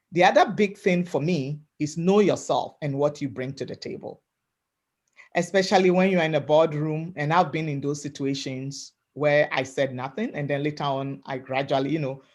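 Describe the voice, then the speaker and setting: Woman from africa on a webinar call, loud and emphatic, keeps saying "right?" and "you know". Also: low-pitched. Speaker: webinar panelist. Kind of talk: motivational talk.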